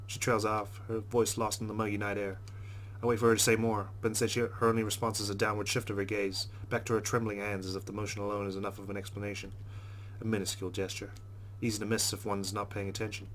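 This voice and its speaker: A man with a fairly low voice reads visual novel narration at a brisk clip. He is wry and conversational, like a host playing through a game, and there is a light hum and noise behind him. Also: little pitch movement, a bit bright, rough recording. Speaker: let's play host. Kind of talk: reading game narration aloud with casual asides.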